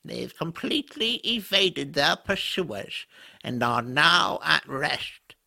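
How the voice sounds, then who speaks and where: A man voicing a pompous, droll magical creature in an audio drama, speaking in a formal, drawn-out way. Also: on the high side, big pitch swings, gravelly, bright, forceful tone, full character voice. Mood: haughty.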